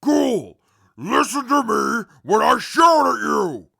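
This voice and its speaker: An actor playing a stern, gruff, hulking wasteland companion with a raspy growl and a slow, formal, commanding way of speaking in a radio drama. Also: male voice, very high-pitched, sing-song, pushed and bright, full character voice. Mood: angry, shouting. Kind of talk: post-apocalyptic radio drama.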